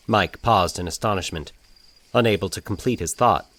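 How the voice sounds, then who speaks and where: A man narrating scripted eerie fiction in a measured, deliberate, formal storytelling manner. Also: low voice, a bit bright.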